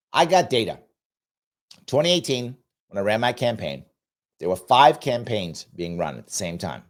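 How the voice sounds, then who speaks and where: A man hosting a political talk show, reading out viewer comments and answering them bluntly, repeating his points, with a cough he is getting over. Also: fairly low pitch. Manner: blunt, emphatic, repeats himself for emphasis. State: lingering cough.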